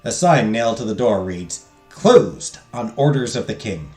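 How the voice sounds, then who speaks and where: A man streaming a visual novel, loudly reading the game text aloud and chatting with his viewers. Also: on the low side, sing-song, a little slow. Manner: loud, talks loudly. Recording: roomy echo, faint game music.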